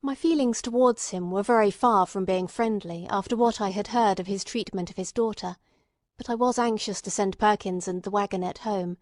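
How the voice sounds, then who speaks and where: A woman reading classic detective fiction as an audiobook narrator, in a measured, formal way. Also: medium pitch, a little mellow, no echo, clean studio sound.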